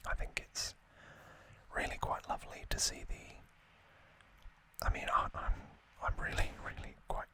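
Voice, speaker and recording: Whispering man, bright and breathy ASMR. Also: measured pace. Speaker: ASMR video host. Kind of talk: whispered ASMR unboxing commentary.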